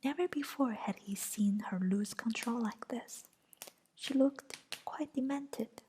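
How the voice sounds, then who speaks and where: A woman narrating a story aloud in a soft, unvoiced whisper. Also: on the high side, slow, a little hiss or hum. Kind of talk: whispered audiobook reading.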